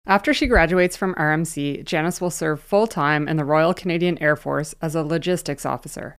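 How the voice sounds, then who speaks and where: Woman podcast host, fairly low voice, upbeat and eager, reads the intro then chats. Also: fairly quick, clean tone. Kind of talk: scripted intro then casual interview.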